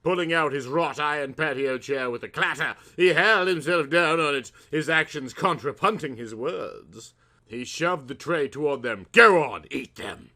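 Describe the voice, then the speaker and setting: A man's voice: a little high, normal speed, sharp tone. A man narrating a comic story in a dramatic, mock-serious, melodramatic way.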